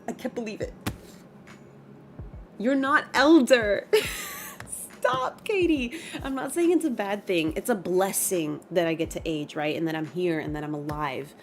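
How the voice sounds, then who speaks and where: A woman's voice: mid-range voice, a little slow, slight background noise. A woman in her mid-twenties chatting casually on a gaming livestream, reading chat and reacting aloud. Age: 20s. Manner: chatty, animated, reacts with gasps and laughs.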